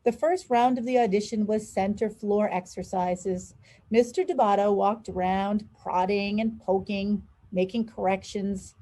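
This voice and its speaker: A woman reading a children's storybook aloud in an expressive, dramatized storytelling manner. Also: medium pitch, unhurried, rough recording. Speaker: school leader reading aloud.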